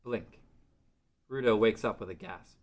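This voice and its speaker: A man's voice: on the low side, measured pace. A man reading scripted narration as narrator of a table read.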